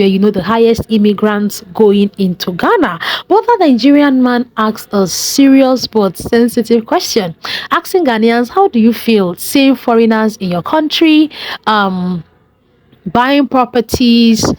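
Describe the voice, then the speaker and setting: A woman's voice: fairly high pitch, darkish tone, no room sound, low-quality recording. A young woman hosting her own channel, commenting conversationally on news and giving her own opinion. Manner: conversational, reacts with surprise, gives own opinion. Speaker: channel host. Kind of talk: commentary vlog.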